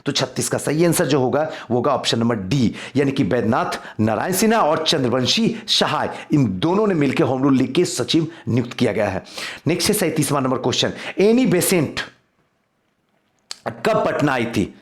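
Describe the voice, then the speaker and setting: A man's voice: mid-range voice, expressive, moderate pace. A man teaching exam history in a quiz lesson, reading out questions and explaining answers, repeating key points for emphasis.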